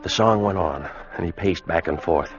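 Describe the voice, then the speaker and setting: A man playing a weakened, fearful American sailor, giving a grave, haunted first-person account in a radio drama. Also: deep voice. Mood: haunted, tense. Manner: grave, haunted, measured storytelling. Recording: muffled vintage radio recording, music behind. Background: dramatic music.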